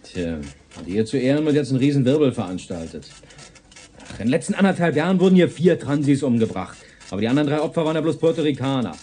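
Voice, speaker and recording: An actor playing a blunt, casual police detective in a naturalistic radio drama, speaking colloquially. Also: male voice, medium pitch, very animated, quick pace, dark tone, slight background noise, close to an everyday voice. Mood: grim, blunt.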